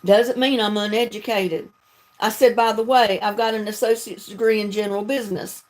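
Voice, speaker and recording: A woman's voice: mid-range voice, steady tone, moderate pace, a little mellow. A woman from North Carolina with a Southern accent, chatting casually and folksily as she hosts a livestream. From North Carolina, United States. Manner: folksy, chatty, laughs often. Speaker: video creator hosting a livestream.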